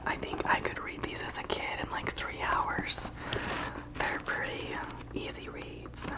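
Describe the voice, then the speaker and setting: Slow whispering woman, breathy ASMR. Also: low-quality recording. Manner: slow, gentle, deliberate with long pauses. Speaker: ASMR channel host. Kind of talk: soft whispered ASMR, chatting and reading aloud.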